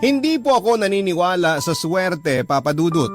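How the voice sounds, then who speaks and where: A man's voice: high-pitched, sing-song, moderate pace, over music. A man playing an earnest, hardworking storyteller who narrates his own life politely and reflectively in a radio drama. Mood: earnest, proud.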